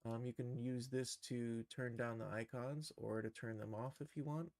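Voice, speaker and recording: A man thinking aloud through a casual live software tutorial, in an informal, musing, tentative manner. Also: medium pitch, fairly even intonation, normal speed, dry recording. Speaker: streamer teaching animation software.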